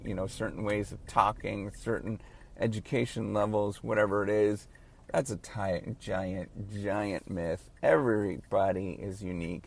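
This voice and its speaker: A man in his late thirties who stutters, giving halting, earnest encouragement in a casual spoken monologue as a professor. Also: fairly low pitch, gravelly. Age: late 30s.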